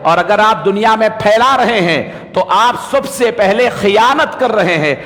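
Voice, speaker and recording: High-pitched male preacher in an echoing hall. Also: a bit bright. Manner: admonishing, exhorting, rhetorical questions. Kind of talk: sermon in a mosque. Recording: long hall reverb, amplified preaching.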